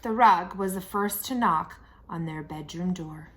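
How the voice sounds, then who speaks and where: A woman reading a children's storybook aloud in an expressive style, with dramatic emphasis and pauses. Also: on the low side, background noise. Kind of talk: expressive picture-book read-aloud.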